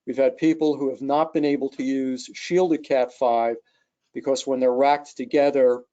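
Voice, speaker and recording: A man's voice: mid-range voice, steady tone, moderate pace, dark tone. A man presenting as an engineer in a webinar, talking through technical details unscripted over an online call. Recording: dull, lo-fi webcast audio.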